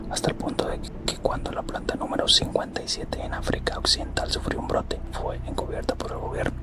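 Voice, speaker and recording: A man whispering a scripted ASMR roleplay narration in a hushed, explanatory manner. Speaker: ASMR roleplay host, playing a corporate recruiter. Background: music.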